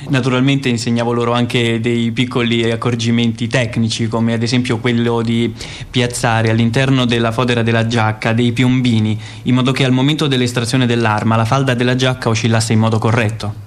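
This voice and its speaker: An actor playing a cool weapons instructor in radio theatre, measured and didactic. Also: male voice, medium pitch, level tone, close to an everyday voice. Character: cool, methodical male weapons instructor of assassins.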